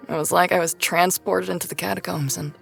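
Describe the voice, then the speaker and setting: An actress in an audio drama playing a self-conscious, foul-mouthed nerdy woman, talking in a halting, blunt, natural way. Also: fairly low pitch, steady tone, creaky, a bit bright, faint music behind. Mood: tender, awkward. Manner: halting, blunt, casually profane.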